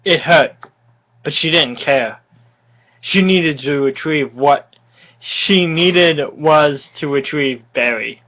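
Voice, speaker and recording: A person giving a tipsy, mock-dramatic reading of comic fan fiction, halting and often giggly. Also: medium pitch, lo-fi sound. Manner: giggly, frequently breaking into laughter; melodramatic, halting delivery.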